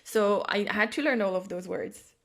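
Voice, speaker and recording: A woman with a French accent, talking unhurriedly in a casual podcast conversation. English is not her first language, so she stops and restarts as she hunts for words. Her pitch sits in the middle range. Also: moderate pace. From France. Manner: searching for words, many restarts. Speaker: podcast host. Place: home, at night.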